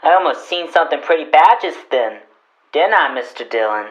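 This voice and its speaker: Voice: mid-range voice, expressive, a little fast, background noise, natural voice. A performer playing an eager, boastful young runaway boy with an American accent and folksy speech in a western radio drama. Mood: eager, curious.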